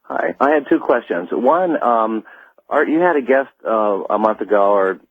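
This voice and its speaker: A man calling in to a radio show over a phone, asking questions hesitantly in a husky voice. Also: lowish voice, lively intonation, moderate pace, dry recording. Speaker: radio call-in listener. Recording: muffled, narrow phone line.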